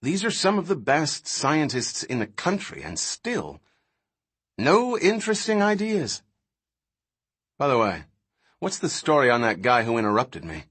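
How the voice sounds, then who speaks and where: Guy reading as a scornful scientist lecturing, blunt and sardonic, big pitch swings, husky. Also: huge pitch swings, unhurried, long pauses, a bit bright, heavily acted. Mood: dismissive. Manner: emphatic, sardonic, declaiming. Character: blunt, contemptuous male scientist lecturer, dismissive intellectual.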